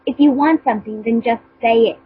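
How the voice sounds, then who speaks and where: A woman's voice: on the high side, brisk, husky, no room sound. A woman voicing a tough, teasing teenage girl in an amateur fan fiction reading. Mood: teasing, concerned. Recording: muffled phone-like voice chat line.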